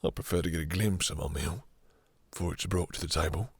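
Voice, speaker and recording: A man playing a possessive, seductive vampire master, breathy and husky, purring condescending endearments and commands in an intimate audio roleplay. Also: deep voice, a little fast, no room sound, exaggerated voice. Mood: seductive, appraising.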